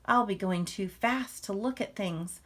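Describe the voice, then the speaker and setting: A woman's voice: mid-range voice, measured pace, fairly bright tone. A woman voicing an eager, imaginative little boy bear cub from a storybook, with wide-eyed, emphatic delivery. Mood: excited.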